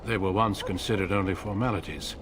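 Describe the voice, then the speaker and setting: A man as a grave, low-voiced commander. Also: noisy background, close to an everyday voice. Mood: grave, instructive. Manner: measured, authoritative. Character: grave, commanding male leader of warrior order. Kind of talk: video game dialogue acting. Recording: game music behind the voice.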